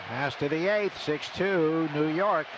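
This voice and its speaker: A man's voice: a little high, slow, background noise. An American man calling baseball play-by-play live on a radio broadcast. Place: stadium broadcast booth. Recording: muffled radio broadcast, loud stadium ambience. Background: loud ballpark ambience.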